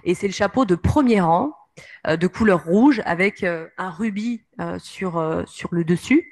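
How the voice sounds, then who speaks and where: A woman's voice: lowish voice, unhurried, a little mellow. A woman with a French accent giving a history lecture from slides, hesitating often with fillers. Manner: many hesitations, frequent "euh".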